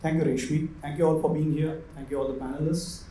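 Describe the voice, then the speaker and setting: A man's voice: fairly high pitch, level tone, fast, dark tone, slight background noise. A man explaining things as a specialist panelist at a conference. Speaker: panelist, investment visa specialist. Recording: echoey room sound.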